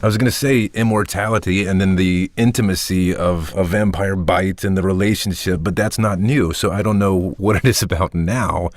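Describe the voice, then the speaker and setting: A man speaking as an actor in a casual post-show discussion, thoughtful and measured. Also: low voice, steady tone, dry recording, clean studio sound. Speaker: actor, panel guest.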